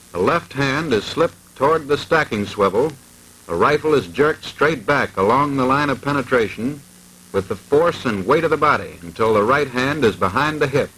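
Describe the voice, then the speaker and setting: A man's voice: mid-range voice, pushed and bright, a little hiss or hum, poor audio. A man reading formal, precise scripted narration in the manner of a 1940s military instructional film.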